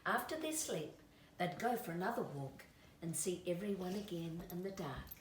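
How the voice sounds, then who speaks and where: A woman reading a children's story aloud in an expressive, deliberate way. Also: on the low side. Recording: roomy, distant mic, faint noise.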